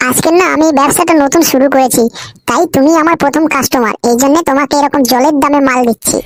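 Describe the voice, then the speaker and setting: A woman's voice: high-pitched, fast talker, no echo, studio quality, close to an everyday voice. A woman voicing a cheeky, brash young village nephew who chats and trades in a comic cartoon. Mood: salesman-like. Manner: chatty, brash. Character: cheeky, foul-mouthed young village nephew, eager small-time trader.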